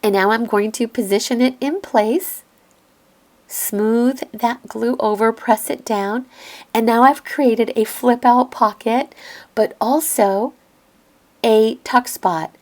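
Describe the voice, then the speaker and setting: Slow, gravelly woman with a raspy voice. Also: mid-range voice. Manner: gentle step-by-step explaining, thinks aloud. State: slightly hoarse with a lingering cough. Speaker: crafting tutorial host. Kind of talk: calm instructional voiceover. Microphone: voice-over recorded apart from the video.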